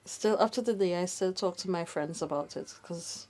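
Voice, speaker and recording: A woman in her thirties from Trinidad and Tobago looks back on her childhood in an interview. Her voice sits a little low and she speaks matter-of-factly. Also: normal speed, a little mellow. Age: 30s. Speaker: hostel resident. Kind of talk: interview about her life.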